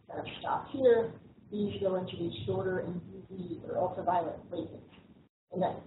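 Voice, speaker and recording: A woman giving a relaxed slide lecture on bees, with frequent ums and restarts. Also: fairly low pitch, slight background noise. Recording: echoey, tinny, distant room recording.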